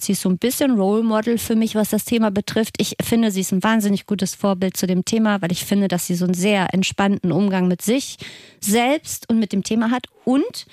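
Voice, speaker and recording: Podcast co-host, female, late 30s, mid pitch, candid casual chat, close dry sound. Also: darkish tone, no room sound.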